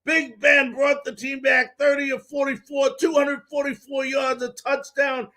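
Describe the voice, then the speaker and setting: A man's voice: extremely high pitch, level tone, measured pace, sharp tone. A man hosting a live sports talk show, recapping games and addressing viewers in an emphatic, folksy way.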